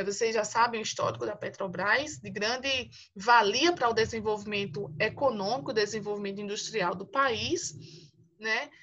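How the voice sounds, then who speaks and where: A woman's voice: fairly high pitch, sharp tone, slight background noise. Woman teacher on dull lo-fi audio. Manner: explanatory, tag questions "né?" and "certo?" after each point. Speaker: geography teacher. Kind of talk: online class lecture.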